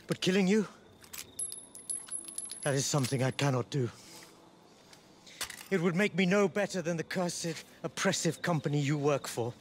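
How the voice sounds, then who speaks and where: Man acting a higher-voiced, dignified exiled maharaja, noisy backdrop. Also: lightly acted. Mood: resolute. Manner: formal, measured, earnest speech. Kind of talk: video game cutscene acting.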